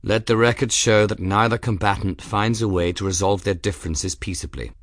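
A man voicing a grave, formal male feline master of arms with measured, ceremonious speech in an audiobook. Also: lowish voice, no echo, clean studio sound, lightly acted. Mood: solemn formality. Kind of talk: audiobook character voice.

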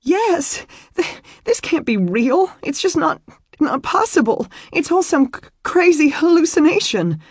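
Casting: panicked young heroine in an audiobook, high-pitched, choked with sobs, stuttering, dry close sound. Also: female voice, very animated, unhurried, no echo. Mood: miserable, hysterical. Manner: stammering, choked, wailing. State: crying, breath hiccuping. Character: distraught young woman, sobbing and stammering in panic. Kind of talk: audiobook character acting.

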